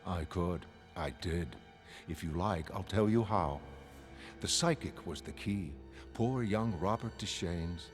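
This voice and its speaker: A man voicing a coldly arrogant genius villain, smooth, unhurried and superior, in a motion comic. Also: deep voice, faint music behind. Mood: cold pride. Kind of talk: dramatic motion comic narration.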